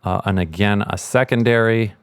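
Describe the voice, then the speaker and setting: A man's voice: on the low side, normal speed, a little hiss or hum. A man explaining sound design in a casual, informal tutorial, thinking aloud as he goes.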